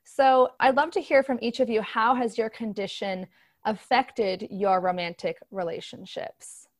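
A woman's voice: on the high side, steady tone, a little slow. A woman in her thirties hosting a podcast and moderating a panel, upbeat and enthusiastic. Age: 30s.

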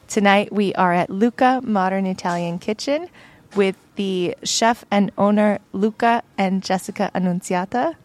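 A woman's voice: medium pitch, unhurried, a little mellow. A woman hosting an interview in a casual way, with "um" fillers between her questions. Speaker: host.

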